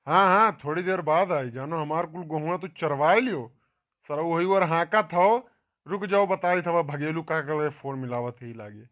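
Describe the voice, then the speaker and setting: Man as a scolding Awadhi farmer, fairly high. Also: moderate pace, fairly bright tone, close to an everyday voice. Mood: accusing. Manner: scolding, insistent, repetitive. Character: accusing, tattling male village farmer. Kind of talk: amateur comic cartoon dialogue.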